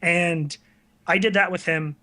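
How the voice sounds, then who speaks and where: A man's voice: sits high, fairly even intonation, unhurried, sharp tone. A man talking casually as a podcast guest, telling candid, self-deprecating stories in a conversational way. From US tri-state area. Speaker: podcast guest, online video creator.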